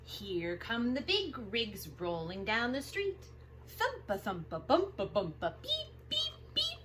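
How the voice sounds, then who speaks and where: A woman reading aloud to young children as a storytime host, expressive and sing-song, drawing out the sound words. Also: on the high side, slow, noisy background. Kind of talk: animated picture-book read-aloud for young children.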